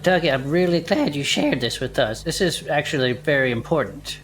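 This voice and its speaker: An actor playing a folksy, slangy, excitable amateur adventurer in an audio drama, with a dramatic way of talking. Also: male voice, a little high, brisk, soft background music. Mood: gentle, reassuring.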